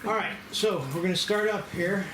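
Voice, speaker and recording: Higher-voiced man hosting in a noisy, echoey room. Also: steady tone, moderate pace. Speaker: host and screenwriter leading a table read. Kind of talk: informal organizing and introductions. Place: indoor room with a group.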